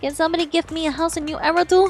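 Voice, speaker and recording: A woman's voice: extremely high pitch, racing, faint music behind. A woman streaming a game live, reacting playfully and expressively and reading dialogue aloud. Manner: playful, expressive, cutesy exclamations.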